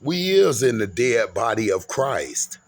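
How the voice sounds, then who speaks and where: A man's voice: medium pitch, sing-song, slow pace. A man in his forties talking in a rambling, grandiose monologue, often repeating and restarting his words. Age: 40s. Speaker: vlogger.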